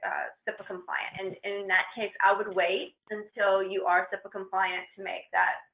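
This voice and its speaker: A woman presenting on a training call, walking informally through a procedure step by step in a halting, hesitant way. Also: medium pitch, level tone, creaky. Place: conference call with shared screen. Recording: roomy, muffled conference-call line.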